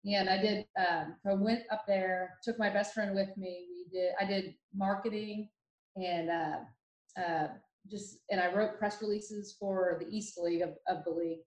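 A young woman from Ohio, a podcast guest telling her story in a chatty, animated way with many fillers and restarts. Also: mid-range voice, moderate pace. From Ohio, USA. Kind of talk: casual storytelling interview. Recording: roomy echo.

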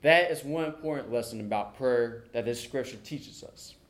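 A young man preaching and reading scripture at a church service, deliberate, pausing between short phrases. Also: mid-range voice. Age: young adult. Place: church sanctuary during a service.

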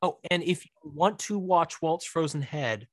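A man's voice: fairly high pitch, animated, measured pace, dry recording, studio quality. A man chatting casually as a podcast guest, informal and prone to repeating phrases for emphasis. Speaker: guest, writer-director.

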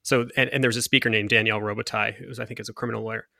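A deep-voiced man in casual conversation, thinking aloud and circling back on his words. His tone is bright and forceful. The recording is dry and close, with no room sound. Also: flat delivery, very fast, creaky, no room sound. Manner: thoughtful, restarts often, reads quotes aloud.

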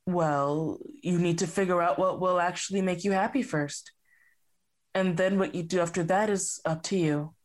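A woman's voice: lowish voice, normal speed, lightly acted. A woman playing a distracted, bland therapist. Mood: brisk. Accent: Canadian. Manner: calm, scripted, absent-minded.

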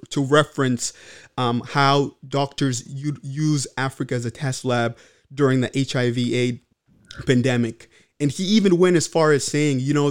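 A man from the Congo hosting a podcast, speaking earnestly with frequent fillers and restarts. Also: medium pitch. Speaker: podcast host. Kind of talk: casual podcast monologue.

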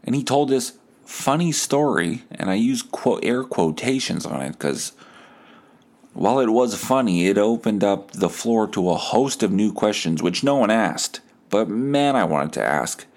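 A man hosting a podcast, telling stories in a casual, comedic, animated way with some swearing. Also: fairly low pitch, unhurried. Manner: animated, acts out quoted dialogue; profane. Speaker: podcast host.

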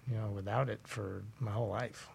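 A man's voice: lowish voice, fairly even intonation, brisk, gravelly, darkish tone, slightly rough. A man in his forties talking as a guest chiropractor in a conversational radio interview, explaining things through anecdotes. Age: 40s.

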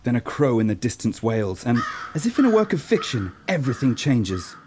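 A man's voice: mid-range voice, very animated, a little fast, a little mellow, a little hiss or hum. A man from Norway narrating a scripted radio play in a theatrical, storytelling way.

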